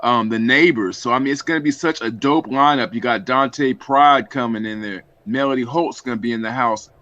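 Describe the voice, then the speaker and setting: A man's voice: mid-range voice, normal speed, fairly bright tone. A man talking casually and at length as a radio panelist, informal and conversational, on slightly dull audio. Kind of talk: casual unscripted radio talk.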